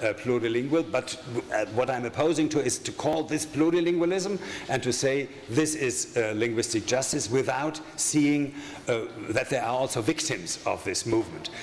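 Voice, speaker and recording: An older man with a German accent, a native German speaker, giving an academic conference lecture at a lectern in a halting way, with many fillers, restarts and wry asides. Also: mid-range voice, expressive. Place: lecture hall with projection screen. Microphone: desk microphone at a lectern. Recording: echoey hall with faint room noise.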